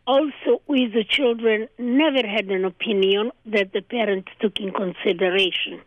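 An older woman from Transylvania, a native Hungarian speaker, recounting her childhood in an interview in measured, short phrases. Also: medium pitch. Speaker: guest. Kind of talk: oral history interview. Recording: dry tinny phone line.

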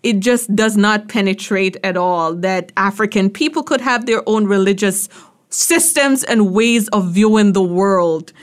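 A woman with a Jamaican accent, hosting an academic podcast and talking in a brisk, reflective way. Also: mid-range voice. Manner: brisk, reflective, quick asides. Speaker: podcast host and professor.

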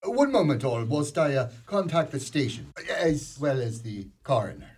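An actor playing an officious, hesitant small-town Irish policeman in a radio play, speaking in halting, formal officialese. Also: medium pitch, sing-song, a little mellow, breathy edge. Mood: firm.

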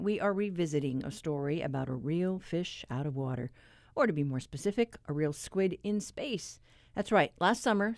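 A woman's voice: lowish voice, gravelly, a little mellow, no echo. Dry, close-sounding woman radio host. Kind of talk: scripted radio presenting. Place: radio studio.

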